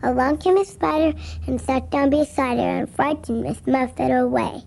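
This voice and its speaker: Woman as a high, creaky-voiced child reciting. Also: moderate pace, a little mellow. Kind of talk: singsong rhyme recitation.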